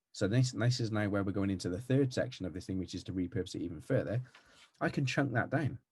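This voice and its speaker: Fast-talking West Country man, husky and dark. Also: fairly low pitch, no room sound, clean studio sound. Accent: West Country English. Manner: fast, energetic, chatty. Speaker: marketing agency owner. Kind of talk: casual tutorial talk to camera. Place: home with green screen background. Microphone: video call.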